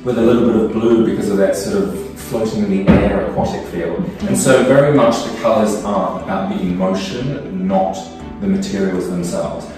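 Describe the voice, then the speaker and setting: A man's voice: on the low side, moderate pace, few pauses, over music. A young man who grew up speaking Cantonese, talking thoughtfully and with enthusiasm as a perfume brand co-founder in an office interview. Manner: thoughtful, enthusiastic, some restarts. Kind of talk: conversational interview. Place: office room. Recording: echoey room, distant mic.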